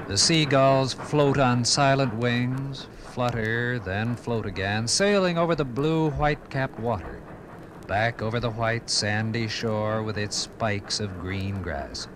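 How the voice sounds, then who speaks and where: A man reading scripted 1950s radio documentary narration in a measured, formal storytelling manner. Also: mid-range voice, background noise.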